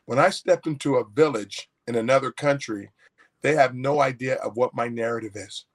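A man's voice: mid-range voice, moderate pace. A man, an author guest, telling a personal story in a folksy, anecdotal way with many restarts. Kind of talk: storytelling in an interview.

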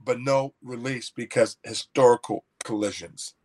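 A man's voice: medium pitch, fairly even intonation, normal speed, darkish tone. A man, an author guest, telling a personal story in a folksy, anecdotal way with many restarts. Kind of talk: storytelling in an interview.

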